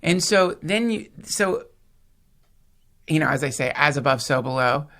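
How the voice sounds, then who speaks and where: A man's voice: a little high, animated, unhurried, pushed and bright. A man from the United States, a podcast host, rambling in casual chat with profanity and emphatic points. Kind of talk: casual conversation.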